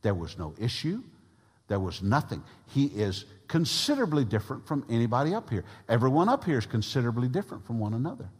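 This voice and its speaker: A man preaching from the pulpit with a big voice that rises and drops with lively, animated pitch. His tone is somewhat husky, and his words ring out through a church hall with a long reverb behind them. He shifts between loud, stressed phrases and softer asides to the room. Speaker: pastor. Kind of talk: sermon. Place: church sanctuary.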